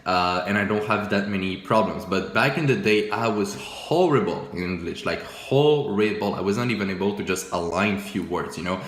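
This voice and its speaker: A young man with a low voice whose first language is French talks casually over his screen, looking back at old work. He is easygoing and self-deprecating, full of "you know" and "uh". The sound carries a noticeable room echo. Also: moderate pace, hardly any pauses. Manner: relaxed, self-mocking, many fillers and restarts, chuckles often. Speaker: forex trading YouTuber. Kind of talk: casual screen-share commentary.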